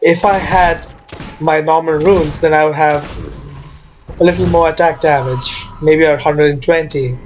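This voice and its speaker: Male gamer, slightly high voice, casual match commentary, some background noise. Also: steady tone, measured pace.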